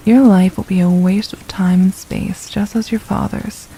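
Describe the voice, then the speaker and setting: Woman acting a very dark-toned, gravelly villainess. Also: mid-range voice, fairly even intonation, slight background noise, natural voice, poor audio. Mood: mocking, gloating. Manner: menacing, mocking, silky and deliberate. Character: possessive, condescending female royal villain holding a captive. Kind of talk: ASMR roleplay, intimate scripted monologue.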